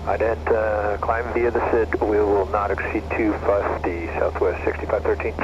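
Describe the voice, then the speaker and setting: A man's voice: lowish voice, little pitch movement, moderate pace. A man working as a pilot, giving clipped readbacks over an aviation radio. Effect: aircraft radio. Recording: muffled noisy aviation radio.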